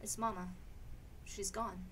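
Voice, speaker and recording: Some clear noise sits behind a woman acting an indignant sister in a radio play. Her voice is bright and sharp, and she speaks emphatically, as one who scolds and defends herself. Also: mid-range voice, fairly even intonation, unhurried, close to an everyday voice. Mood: subdued. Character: indignant, defensive sister standing up to bullying brother. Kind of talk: live amateur radio theatre acting. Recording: clearly noisy amateur radio drama recording.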